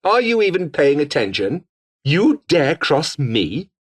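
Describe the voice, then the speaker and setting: A menacing British militant, wildly swinging pitch. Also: male voice, very animated, takes it slowly, husky, exaggerated voice. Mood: suspicious, irritated. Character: menacing, foul-mouthed militant revolutionary foot soldier, adult man. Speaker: video game voice actor. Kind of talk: video game combat barks.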